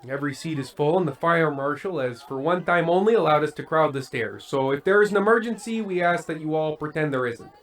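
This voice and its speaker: A man playing an earnest, disgruntled late-night variety host in a scripted radio play, deliberate and emphatic. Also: a little high, a bit bright, natural voice. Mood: welcoming showman.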